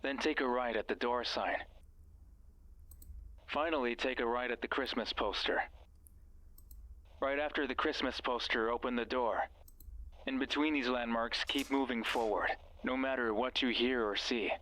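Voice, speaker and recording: A man's voice: medium pitch, fairly even intonation, moderate pace, pauses often, bright, forceful tone, natural voice, poor audio. An actor playing a mysterious, bossy male guide in a horror game, stern, ominous and condescending. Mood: grave, instructing. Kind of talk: horror visual novel voice acting.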